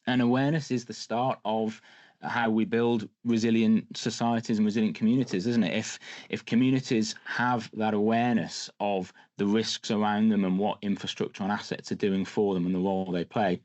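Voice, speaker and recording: A man with a lowish voice hosts a podcast interview, upbeat and encouraging toward his guest. The sound is dry and a little dull, as if the top end has been rolled off. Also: steady tone, brisk, darkish tone, dry recording. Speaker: podcast host.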